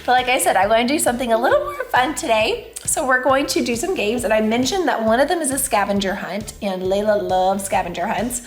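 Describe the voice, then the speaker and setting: A young woman with a hoarse voice, chatting casually about homeschooling in a home vlog. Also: on the high side, animated, brisk, hardly any pauses. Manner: chatty, upbeat, praising her child. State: hoarse, lost her voice. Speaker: homeschooling mom, channel host. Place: family home, indoors.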